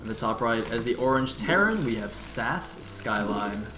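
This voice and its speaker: A man's voice: mid-range voice, lively intonation, measured pace, sharp tone. A man giving analytical, easygoing play-by-play commentary on an esports match, with the odd joke. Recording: echoey room with music.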